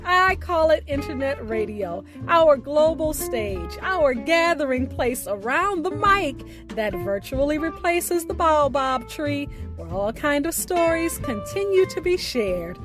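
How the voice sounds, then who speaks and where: High-pitched, unhurried woman radio host. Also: big pitch swings. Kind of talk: scripted show introduction. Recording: voice over prominent music bed.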